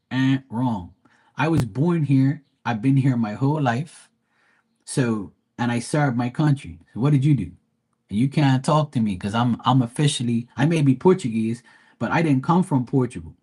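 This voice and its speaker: A middle-aged man with a Bermudian accent talks in a husky, breathy voice of ordinary pitch. He rants bluntly and swears freely, with fillers and restarts, like an unscripted live-stream host. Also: darkish tone. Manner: blunt, profane and heated, with repeated fillers and restarts. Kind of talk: casual ranting monologue.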